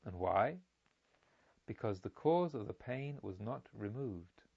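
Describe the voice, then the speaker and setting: A man voicing a wise Indian monk in a storybook, teaching deliberately and emphatically by parable. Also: on the low side, animated, creaky, a little mellow, lo-fi sound, pitched below the performer's own voice, exaggerated voice. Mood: calm, reasoning. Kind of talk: solemn storybook dialogue.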